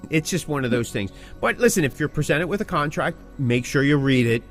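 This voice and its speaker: A man's voice: on the high side, animated, brisk, soft background music. A man co-hosting a podcast, talking casually but emphatically, giving advice and repeating key points for stress. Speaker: podcast co-host. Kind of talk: casual talk about the music business, with scripted sign-offs.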